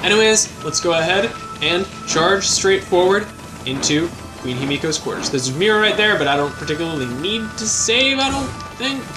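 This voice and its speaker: A man's voice: high-pitched, lively intonation, normal speed, poor audio. A young man casually commenting on his video game playthrough, chatty and self-deprecating, with bouncy exclamations. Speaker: let's play host. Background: game music.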